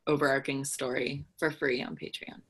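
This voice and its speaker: A woman's voice: extremely low pitch, flat delivery, normal speed. Woman chatting over background chatter. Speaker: podcast co-host. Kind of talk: casual chat.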